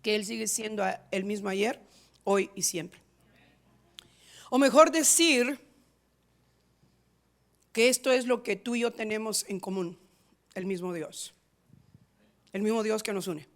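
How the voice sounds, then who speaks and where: A woman pastor preaching a sermon in a rhythmic, repetitive style, moving between loud stressed lines and quiet asides. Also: medium pitch, a little slow, pauses often. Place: church in San Diego.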